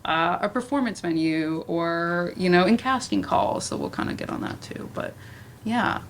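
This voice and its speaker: A woman with a slightly low voice moderates a casual panel discussion. She rambles in a conversational way, circling through her thoughts. There is faint room noise around her. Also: normal speed. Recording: noisy room ambience.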